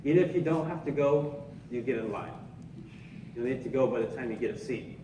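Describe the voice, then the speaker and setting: An actor playing a young Japanese-American sergeant earnestly recounting camp life in a live 1940s-style radio play. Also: male voice, a little high, mellow, close to an everyday voice. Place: theatre stage, live performance. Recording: roomy stage recording, distant mic, noisy.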